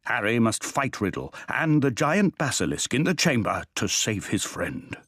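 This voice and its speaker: A man's voice: mid-range voice, sing-song, normal speed, fairly bright tone, dry recording, clean studio sound. A man narrating a wizard tale for a video game in a scripted storybook style. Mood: measured storytelling. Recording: light music behind the voice.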